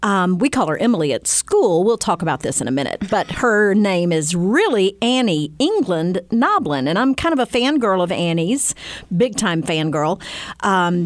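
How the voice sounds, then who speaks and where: A woman's voice: mid-range voice, no echo. Gushing woman hosting a chat. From Mississippi. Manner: gushing, effusive, excitable. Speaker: host. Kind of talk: casual interview chat.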